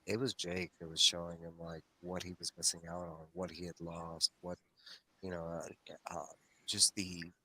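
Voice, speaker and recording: A man's voice: low voice, flat delivery, normal speed, bright, forceful tone, a little hiss or hum, low-quality recording. A man talking casually on a discussion show, enthusiastic and meandering, often circling back on his phrases as he explains. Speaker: host of a comics read-along discussion show.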